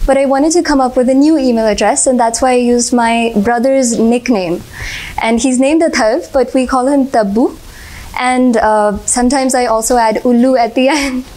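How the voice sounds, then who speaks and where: Casting: young woman, 20s, American accent, Hindi native, slightly high, deferential candidate, filler-heavy. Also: normal speed, darkish tone, rough recording. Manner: polite, says sir often, frequent uh fillers, light laughs. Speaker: interview candidate. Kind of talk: formal mock interview answers.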